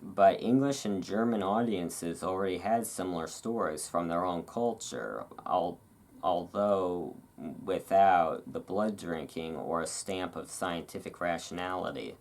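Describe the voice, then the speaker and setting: A host giving a relaxed ASMR read-aloud, drifting into casual, musing asides. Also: low-pitched, measured pace. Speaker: host reading and commenting on a magazine.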